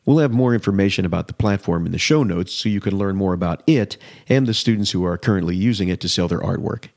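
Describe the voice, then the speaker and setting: A man's voice: deep voice, fast, creaky, darkish tone. A man co-hosting a podcast, introducing the guest and asking questions in a friendly, partly scripted manner. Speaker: podcast co-host. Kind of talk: interviewer.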